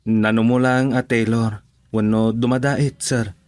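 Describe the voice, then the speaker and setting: A man's voice: medium pitch, level tone, a little slow, darkish tone, no echo, lightly acted. A man acting a humble, devoted young tailor in a radio drama, earnest and respectful, with a Filipino accent. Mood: respectful, wounded.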